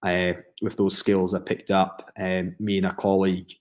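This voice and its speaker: A man's voice: deep voice, completely flat delivery, moderate pace. A Scottish man, a professional footballer, giving long reflective answers in a podcast interview, with frequent fillers and restarts.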